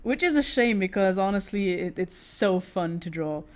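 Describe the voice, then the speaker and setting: A woman's voice: medium pitch, expressive, moderate pace, darkish tone. A woman with a British accent, born in Italy, chatting casually with her stream while she draws, giggling often and restarting her sentences. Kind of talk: casual chat while drawing.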